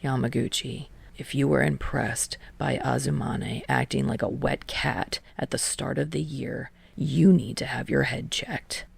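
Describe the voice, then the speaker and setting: A woman's voice: rumbling low, steady tone, unhurried, creaky, hardly any pauses, slightly rough, dry recording, voice pushed down, full character voice. A woman voicing an aloof, sarcastic teenage boy who mutters and drones drily in an audio story. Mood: dry scorn. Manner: droning, muttering, dry.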